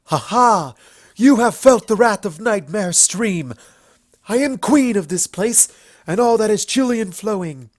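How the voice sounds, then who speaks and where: A man's voice: high voice, lively intonation, unhurried, no room sound, studio quality, voice pushed up. A man voicing a playful, imperious female alicorn pony princess in a story reading, with formal, grandiose speech. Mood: mock menacing. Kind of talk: fan fiction character reading.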